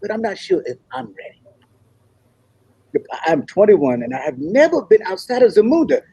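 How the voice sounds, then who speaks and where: A man's voice: high-pitched, huge pitch swings, normal speed, creaky, mellow, breathy edge, no room sound, exaggerated voice. A man playing an earnest, idealistic young African prince in a comedy table read. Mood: hesitant.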